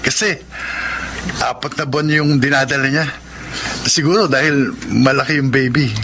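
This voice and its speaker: A man's voice: mid-range voice, fairly even intonation, moderate pace, bright, forceful tone, slight background noise. A man acting an earnest, trusting young man in a Filipino radio drama. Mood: proud, trusting.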